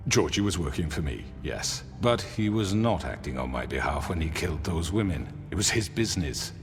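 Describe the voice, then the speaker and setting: An actor playing a smooth, manipulative crime boss in a video game, with measured, theatrical speechifying. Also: male voice, rumbling low, sing-song, exaggerated voice. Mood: smoothly defensive. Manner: measured, theatrical, silky self-righteous speechifying. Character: smooth, manipulative crime boss, self-justifying older man. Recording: reverberant hall sound under loud music.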